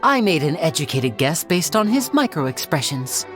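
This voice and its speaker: A man voicing a smooth, playful young stage magician in a video game, theatrical and teasing, over game music. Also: on the high side, sing-song, moderate pace.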